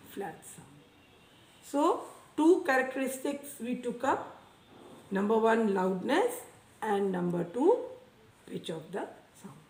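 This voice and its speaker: A woman teaching a science lesson to children, speaking loudly and deliberately and repeating key terms. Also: high voice. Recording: echoey room, voice a bit far from mic, faint noise.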